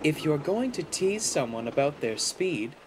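A man voicing a polite but sharp-tongued female locomotive with a prim, dry manner in a children's story. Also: high-pitched, sing-song, moderate pace, a little hiss or hum, pitched above the performer's own voice. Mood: sweetly teasing.

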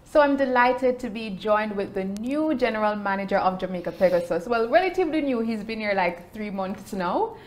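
A woman's voice: medium pitch, expressive, moderate pace, hardly any pauses, soft background music. Upbeat woman hosting an interview. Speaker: host. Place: indoor room with shelves.

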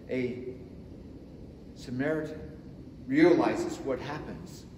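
A man in his fifties preaching a sermon from the front of a church sanctuary, slow and emphatic, swinging between loud and soft. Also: on the high side, a little hiss or hum. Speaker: pastor. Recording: echoey church with long reverb.

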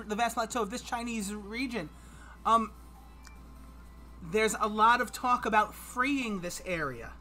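Bright-voiced upbeat quiz host. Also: male voice, very high voice. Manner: chatty and upbeat, reads clues aloud. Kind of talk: livestreamed quiz hosting. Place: home streaming room.

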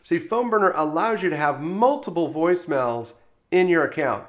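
A man working as a software trainer, narrating an instructional screencast in an explanatory, step-by-step way. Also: a little high, moderate pace.

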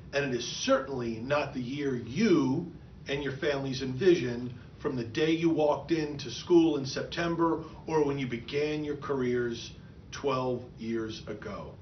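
A man's voice: medium pitch, slight background noise. A middle-aged man giving a prepared, encouraging commencement address as a school superintendent, recorded in an office. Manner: earnest, encouraging, measured. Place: office with bookshelves, indoors. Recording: echoey, dull room sound from a distant mic.

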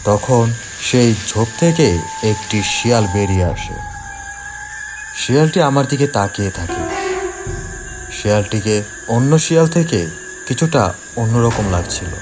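A man narrating a scripted first-person horror story for an animated cartoon, in a dramatic storytelling manner. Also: mid-range voice, moderate pace, long pauses, music in the background.

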